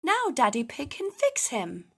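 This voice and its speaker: Lady playing soothing mummy pig in a kids storybook, bright and reassuring, highish voice, unhurried. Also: huge pitch swings, creaky, husky, exaggerated voice.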